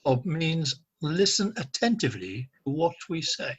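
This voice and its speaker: A man's voice: on the high side, very animated, unhurried, breathy edge, muffled recording, exaggerated voice. A man playing a fussy, self-important doctor in an amateur comic radio play, with pompous theatrical delivery. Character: fussy, self-important mad-doctor, echoing his colleague. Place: home, recorded over a video call.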